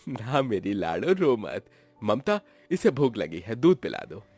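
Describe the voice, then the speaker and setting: A man voicing a gentle, worried young father with a soothing, patient manner in a story. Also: fairly low pitch, big pitch swings, unhurried, breathy edge, no echo, heavily acted. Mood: gentle pleading. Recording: music behind the voice.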